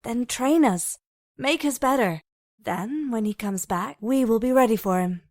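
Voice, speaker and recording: A blunt teen girl, played by a woman in an audio drama. She is assertive and confident, with a fairly high voice. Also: animated, measured pace, darkish tone. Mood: determined. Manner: plain-spoken, assertive.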